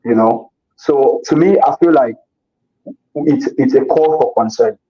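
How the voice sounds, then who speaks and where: Guy being interviewed, kinda husky, repeats himself a lot, dull lo-fi sound. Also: medium pitch, a little slow, dark tone. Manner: earnest, repeats himself while searching for words. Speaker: interview guest. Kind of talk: casual conversational interview. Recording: dull lo-fi recording.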